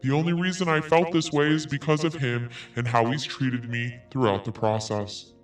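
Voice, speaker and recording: A man's voice: medium pitch, steady tone, normal speed, distant mic. A man podcast host reads an email aloud and mocks it with dry, snarky comments between lines. He sits a little back from the mic, so he sounds set apart from it. Faint music plays under his voice. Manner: sarcastic, cutting in with snarky asides.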